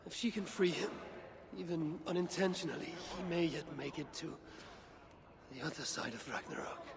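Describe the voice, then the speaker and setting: An actor playing a vengeful, weary Norse father god in a video game, speaking gravely and deliberately with a gravelly voice. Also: medium pitch, long reverb tail, heavily acted. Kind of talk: dramatic cinematic video game acting.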